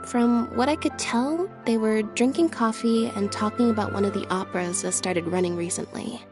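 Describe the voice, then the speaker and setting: A woman playing a composed, devoted young woman in a video game, speaking calmly and deliberately. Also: a little high, over music, lightly acted. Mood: gentle, wistful. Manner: calm, deliberate. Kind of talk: dramatic video game dialogue.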